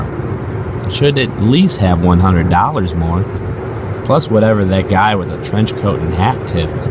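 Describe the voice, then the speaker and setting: A man's voice: sits low, very noisy. A man playing a weary, brooding rideshare driver and father, narrating an audio drama in a somber, plain-spoken, introspective way.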